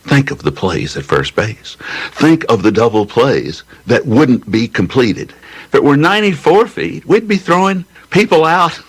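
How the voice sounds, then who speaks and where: Husky, animated man musing aloud. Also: medium pitch, a little slow. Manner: musing, explanatory.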